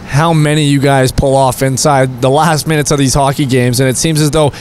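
A man's voice: medium pitch, fairly even intonation, fairly quick, darkish tone, slight background noise. A young man hosting a postgame interview beside an indoor hockey rink, holding a handheld mic. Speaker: team broadcaster interviewer. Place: indoor hockey arena rinkside.

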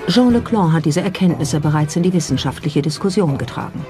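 Woman reading documentary script, lowish voice w music behind her. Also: expressive, a little fast. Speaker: documentary narrator. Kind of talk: scripted narration.

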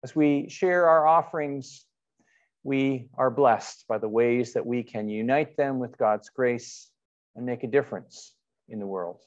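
A middle-aged man, speaking as a pastor leading worship and prayer in measured, deliberate phrases with pauses. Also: medium pitch, dull audio. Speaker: pastor leading an online church service. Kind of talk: sermon and spoken prayer. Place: indoors in a church, before a painted backdrop.

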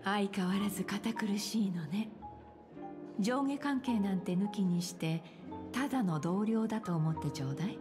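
A woman's voice: mid-range voice, expressive, moderate pace, natural voice. An actress playing an elegant, composed senior businesswoman in a game, with a teasing, coaxing manner. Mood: sly, composed. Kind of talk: Japanese game voice acting. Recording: music behind the voice.

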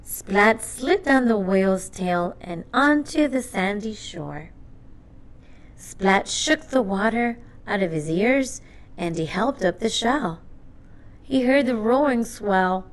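A woman reading a children's storybook aloud in an expressive, deliberate narrating style. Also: mid-range voice. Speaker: storybook reader.

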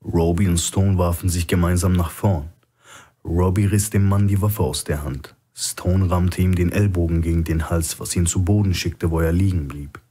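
A man's voice: sits low, steady tone, normal speed, mellow, husky. A man reading a thriller audiobook as a scripted narration.

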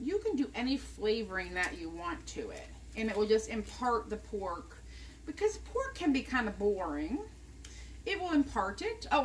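A woman's voice: a little high, a little hiss or hum. A woman from the United States chatting casually as she walks viewers through a cooking demonstration in her home kitchen, recorded on an overhead camera. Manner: chatty, talks viewers through each step. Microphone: camera mounted above the counter.

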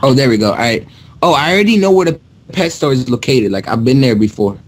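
A man's voice: medium pitch, lively intonation, quick pace. A young man making a prank call as a customer, talking in casual slang over an internet voice call. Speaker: prank caller posing as a customer. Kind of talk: casual slangy phone conversation.

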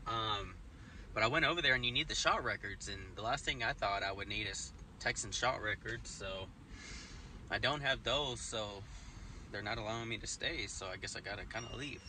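A man's voice: fairly low pitch, moderate pace, bright, forceful tone. Young man vlogging in a truck, laid-back and chatty, phone mic held at arm's length, road noise, sounds a bit distant. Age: 20s. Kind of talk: casual vlog talk to camera. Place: inside a pickup truck and a motel room. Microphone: phone camera at arm's length.

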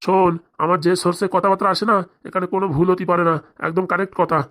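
Someone acting a rapid-fire quarrelsome villager. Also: mid-range voice, level tone, fairly bright tone, lightly acted. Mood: blustering. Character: quarrelsome village characters, threatening elder and evasive father. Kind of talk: comedy cartoon voice acting.

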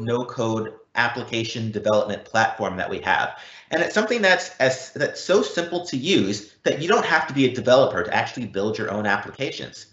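A man giving an explanatory software demo as a product presenter, in a didactic way with emphatic repetition. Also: medium pitch. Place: indoor room, recorded live online event. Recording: muffled, roomy live-event recording.

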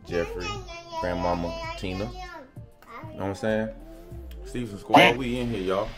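A man's voice: on the low side, moderate pace, over loud music. A man, filming a casual family vlog, laid-back and slangy, calling everyone "man" and "y'all". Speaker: family vlogger. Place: outdoors at a garage, then a dining room, then a car.

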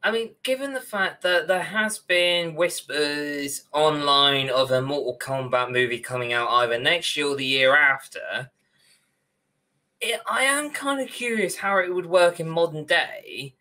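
Young man, British accent, bright voice, casual podcast chat, wordy, opinionated. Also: a little high, animated, a little slow. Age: young adult. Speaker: podcast co-host. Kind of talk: casual ranting discussion. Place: indoor room at home, on video call.

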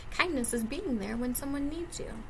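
A woman's voice: high-pitched, moderate pace. A young woman reading a picture book aloud as a preschool teacher, adding simple explanations for young children. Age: young adult. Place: indoors, classroom. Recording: clear background noise, webcam-style room recording.

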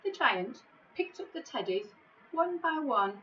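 A middle-aged woman reading a children's storybook in a measured, expressive way, recorded on a device microphone in an office. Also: high-pitched, lo-fi sound, a little hiss or hum. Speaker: school staff member reading a bedtime story. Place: small office, indoors.

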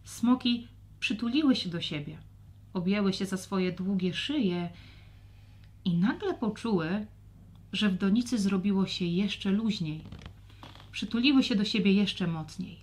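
A woman's voice: mid-range voice, lively intonation, measured pace, darkish tone. A woman narrating a scripted children's story expressively.

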